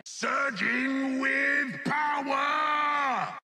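An actor voicing a wild, frenzied orc shaman with a raspy voice, shouting video game battle cries. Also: extremely high pitch, steady tone, drawn-out words, over loud music, full character voice. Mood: frenzied, exultant.

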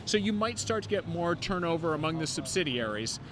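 A man's voice: fairly high pitch, big pitch swings, a little fast. A man in his fifties explaining investing as an interview guest, confident and fluent, speaking into a handheld mic on a city sidewalk. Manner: fluent and confident, many "um" fillers. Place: city sidewalk outdoors, traffic passing. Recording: outdoor street recording with clear traffic noise.

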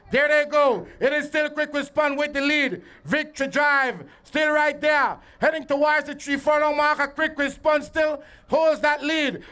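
A man's voice: extremely high pitch, sharp tone. A man calling live horse races at an outdoor track, repeating the horses' names as they run. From Belize. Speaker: race announcer. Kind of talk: live horse racing commentary. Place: outdoor racetrack.

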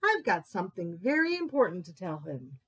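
A woman's voice: fairly low pitch, very animated, moderate pace, fairly bright tone, slightly rough, heavily acted. A woman voicing an eager little girl mouse in a storybook, asking earnest, urgent questions. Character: small eager girl mouse searching for her friend.

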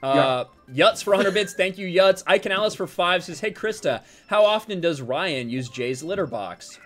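A man's voice: high voice, animated, moderate pace, a bit bright. A man in casual chat, reading out viewer donations and joking crudely during a game stream. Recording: game music behind.

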